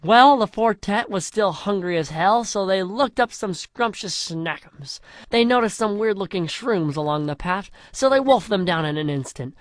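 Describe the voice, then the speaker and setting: A young man reading a crude silly fan story aloud in a deadpan way, slowing down for dramatic chapter titles. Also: high-pitched, dry recording, clean studio sound. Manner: deadpan crude comic narration with dramatic slowdowns.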